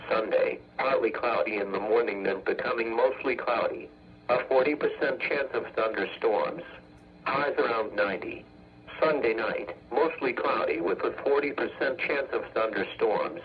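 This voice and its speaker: A synthetic male text-to-speech voice reading an automated weather bulletin as a radio announcer, in measured, formulaic segments. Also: medium pitch. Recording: muffled weather radio broadcast.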